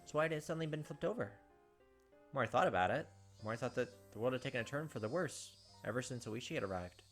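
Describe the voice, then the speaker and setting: A man's voice: medium pitch, animated, fast talker, fairly bright tone, soft background music. A man hosting a let's play, chatting casually and reading the game's story text aloud.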